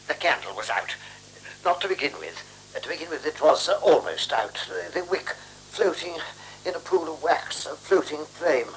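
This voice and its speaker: A man's voice: on the high side, sing-song, low-quality recording. A haunted, beaten man, swooping wildly. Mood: haunted recollection. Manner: halting, brooding, intense monologue delivery. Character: beaten, frightened man haunted by his robbery. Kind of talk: radio drama acting. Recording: 1970s radio recording with faint hum.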